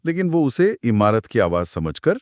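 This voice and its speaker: A man co-hosting a podcast, retelling a horror story in a dramatic, suspenseful way with pauses. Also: mid-range voice, very animated, darkish tone, no room sound, studio quality. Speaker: podcast co-host.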